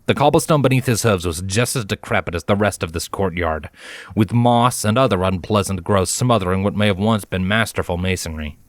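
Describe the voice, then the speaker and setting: A man's voice: low voice, brisk, no echo. A man reading a scripted story aloud as a narrator.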